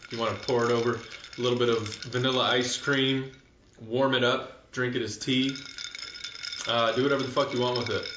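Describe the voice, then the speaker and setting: A man's voice: medium pitch, a bit bright. Laid-back male podcast host. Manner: laid-back, crude joking ad reads. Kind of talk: casual sports podcast hosting. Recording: echoey room, dull lo-fi sound, faint hiss.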